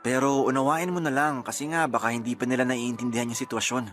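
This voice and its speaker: An actor playing a placating, pleading boyfriend in a radio drama. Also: male voice, medium pitch, fairly even intonation, quick pace, fairly bright tone, soft background music, lightly acted. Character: pleading, placating boyfriend who sides with his family.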